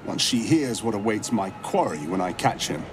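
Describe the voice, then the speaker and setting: A man playing a courteous, grave monster hunter in a video game, speaking in measured, formal, storytelling phrases. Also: on the low side, lively intonation, music in the background, natural voice. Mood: grave, solemn. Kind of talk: dramatic video game acting.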